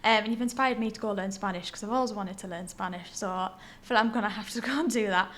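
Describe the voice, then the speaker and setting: Fast-talking encouraging woman host. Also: mid-range voice, a bit bright. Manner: encouraging, quick short replies. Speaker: podcast host. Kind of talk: casual podcast interview.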